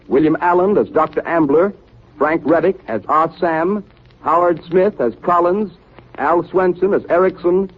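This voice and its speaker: A man with an American accent making formal, scripted network announcements in the style of 1930s radio. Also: a little high. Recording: tinny, hazy 1930s radio broadcast recording.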